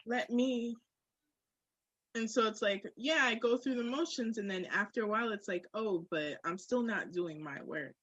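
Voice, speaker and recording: High-voiced woman, emphatic and close-sounding. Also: normal speed, fairly bright tone, breathy edge, no room sound, highs cut off. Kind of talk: candid casual chat.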